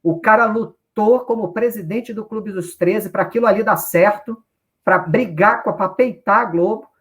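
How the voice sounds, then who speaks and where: A man's voice: high voice, moderate pace, a bit bright. A man from Brazil with a Brazilian accent, a guest giving casual football analysis, opinionated and swearing casually, often restarting his sentences. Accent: Brazilian Portuguese.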